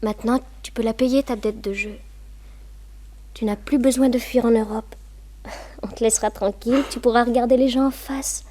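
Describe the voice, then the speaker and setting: A woman's voice: fairly high pitch, fairly even intonation, moderate pace, mellow, natural voice. An actress playing a trusting, lovestruck young society woman in a French radio play, with a tender, melodramatic manner. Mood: adoring, pleading.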